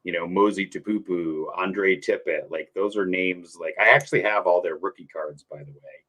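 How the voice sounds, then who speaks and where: A man, a podcast guest chatting casually, animated and rambling, laughing often. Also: low voice, normal speed. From New Hampshire. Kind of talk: casual sports chat.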